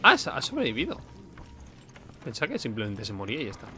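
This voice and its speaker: A man's voice: medium pitch, wildly swooping pitch, fast talker, pushed and bright, soft background music. A man with an accent from Spain giving casual, sarcastic gameplay commentary as a streamer. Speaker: video game streamer.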